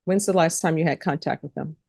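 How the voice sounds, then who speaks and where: A woman's voice: deep voice, a little fast, vocal fry, dark tone, breathy edge, dry recording. A woman judge questioning people formally and procedurally in a courtroom. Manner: procedural, spells out names. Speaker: judge presiding over a court hearing.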